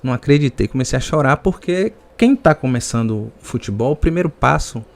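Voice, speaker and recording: A man's voice: medium pitch, expressive, a little fast, creaky. A man from the Salvador area with a Bahian Brazilian accent, speaking as a podcast guest in conversational personal storytelling.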